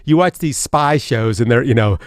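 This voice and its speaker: A man's voice: mid-range voice, moderate pace, no room sound, studio quality. A man hosting a radio talk show in casual chat, rambling with many fillers and restarts. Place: radio studio.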